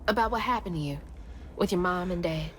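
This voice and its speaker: A woman's voice: fairly low pitch, fairly quick, a bit bright, a little hiss or hum, lightly acted. A woman playing an earnest, caring young heroine in a video game, acted naturalistically. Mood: gentle, concerned. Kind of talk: naturalistic game drama acting. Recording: music behind the dialogue.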